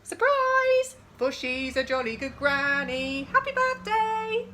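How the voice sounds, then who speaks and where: A woman's voice: high-pitched, big pitch swings, slow, pushed and bright, a little hiss or hum, voice pushed up, full character voice. A woman voicing gleeful birthday visitors in a children's storybook, calling out in exclamations.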